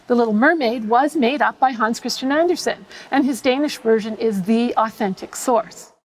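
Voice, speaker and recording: A middle-aged woman, giving scripted educational narration in a measured, precise way as a professor. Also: a little high, low-quality recording. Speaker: professor presenting a web series.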